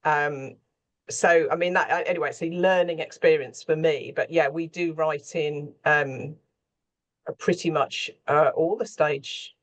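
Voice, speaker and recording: A woman's voice: low-pitched, fairly even intonation, unhurried. Chatty, rambling woman on a panel. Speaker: radio drama scriptwriter, panel guest. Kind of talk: conversational panel discussion.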